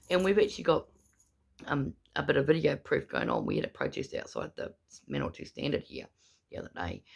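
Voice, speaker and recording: Brief: gravelly, husky-voiced speaker with a New Zealand accent, gummy without teeth, indignant protester monologue. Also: medium pitch. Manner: indignant, repetitive, emphatic. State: gummy speech, no dentures in. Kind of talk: angry ranting monologue.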